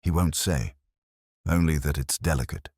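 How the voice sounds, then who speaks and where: A very low-voiced, gruff police superintendent, read by a male narrator in a detective story. He is curt, grumbling and suspicious, with a weary irritability in a dry, close recording. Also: moderate pace, a little mellow, dry recording, heavily acted. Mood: grumbling warning.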